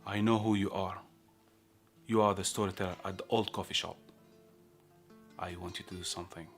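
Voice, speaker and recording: An actor playing a secretive, demanding prison guard in a radio play. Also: male voice, low-pitched, steady tone, normal speed, faint music behind.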